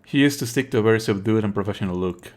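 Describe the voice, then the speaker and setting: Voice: medium pitch, very fast, darkish tone. A streamer giving wry, sweary, casual commentary on a game and reading its narration aloud in a live let's play.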